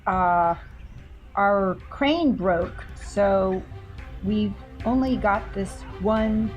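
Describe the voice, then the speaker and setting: A woman's voice: mid-range voice, extremely slow, lo-fi sound, faint music behind. A woman playing a tabletop game, speaking hesitantly and placatingly in character. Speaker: player.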